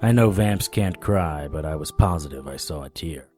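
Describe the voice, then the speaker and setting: A man playing a weary, wry monster fighter, narrating an audio drama in the first person with a husky voice. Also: rumbling low, normal speed, dark tone, soft background music. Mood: grim, haunted.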